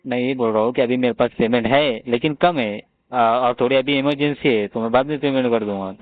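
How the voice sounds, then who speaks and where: A man's voice: medium pitch, fairly quick. An Indian man on a casual phone conversation as a loan customer, evasive, stubborn and nonchalant in his answers. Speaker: loan customer on a collection call. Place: on a phone line. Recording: tinny, narrowband phone call recording.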